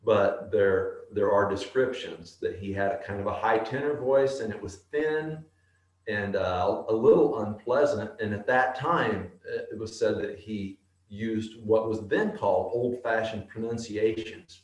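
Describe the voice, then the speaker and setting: A man with a deep bass voice answers interview questions at an unhurried pace. He often pauses and restarts as he thinks it through. His voice sits on the low side, and the room adds a noticeable echo. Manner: slow, thoughtful, halting. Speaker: actor and historical interpreter.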